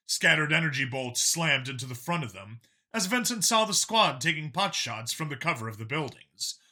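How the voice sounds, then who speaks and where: A man's voice: fairly high pitch, expressive, moderate pace, pushed and bright. A man reading a science fiction action story aloud as dramatic scripted narration. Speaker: audiobook-style story narrator.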